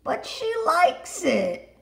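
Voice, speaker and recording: A woman voicing a mischievous little boy making whiny, drawn-out excuses in a storybook reading. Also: extremely high pitch, huge pitch swings, vocal fry, a bit bright, voice pushed up, full character voice. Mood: protesting.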